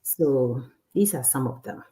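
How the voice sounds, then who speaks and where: A woman from Ghana, a guest on an online interview, speaking in a relaxed way, often laughing and repeating herself. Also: a bass voice, unhurried, slight background noise. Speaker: guest, cardiac sonographer. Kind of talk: casual interview.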